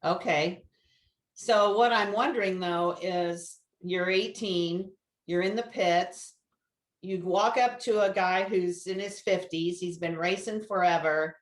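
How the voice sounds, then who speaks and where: A woman's voice: lowish voice, fairly even intonation, slow pace. Woman podcast host, echoey sound. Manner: probing, stacks several questions. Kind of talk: interviewer questions.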